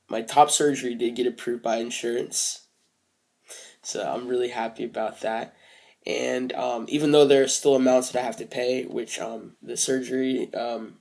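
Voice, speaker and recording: A young man recording a casual video diary, speaking hesitantly with many fillers and restarts, soft and reflective. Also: mid-range voice, creaky. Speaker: vlogger.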